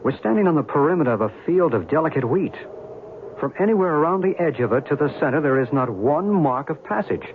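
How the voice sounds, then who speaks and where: Earnest believer, thin noisy sound. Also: male voice, a little high, animated, fast. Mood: earnest, insistent. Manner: articulate, earnest, formal. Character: earnest, insistent male UFO believer, patient and solicitous companion. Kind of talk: old-time radio drama acting. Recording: noisy, muffled old radio show recording.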